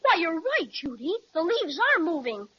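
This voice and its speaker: A woman voicing an eager, adventurous young boy in a 1930s radio serial, full of excited exclamations. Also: very high-pitched, moderate pace. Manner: excited, gee-whiz exclamations. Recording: dull lo-fi 1930s radio transcription.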